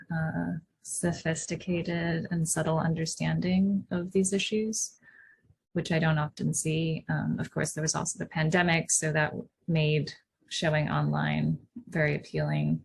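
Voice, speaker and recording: A woman's voice: deep voice, little pitch movement, measured pace, creaky, husky. A woman from Hong Kong, a guest artist on a video-call panel, speaking in a thoughtful way with frequent ums. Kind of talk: panel discussion.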